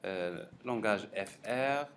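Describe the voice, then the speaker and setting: A man giving a live technical software lecture as a professor, halting and repeating words as he thinks aloud. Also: lowish voice. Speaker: university professor teaching a class. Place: classroom during a live course session. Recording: clearly noisy classroom recording.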